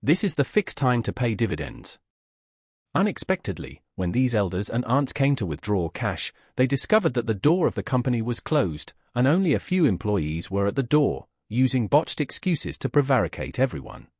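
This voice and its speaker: A man reading a story aloud as scripted narration, with a husky voice. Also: medium pitch, very animated, moderate pace, a little mellow, no echo, studio quality. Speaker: audiobook narrator.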